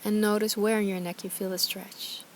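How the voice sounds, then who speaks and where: A woman teaching yoga and guided meditation, speaking calmly and soothingly in short, gentle instructions. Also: mid-range voice, normal speed, a little hiss or hum. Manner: calm, gentle, soothing guidance with short phrases.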